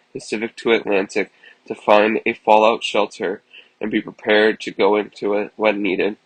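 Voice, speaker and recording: A man acts as a solemn President addressing the nation in a time of war. The voice is husky and stays very even, with measured, halting phrasing in a dry, close recording. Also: fairly low pitch, no echo, natural voice, poor audio. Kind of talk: formal emergency address to the nation.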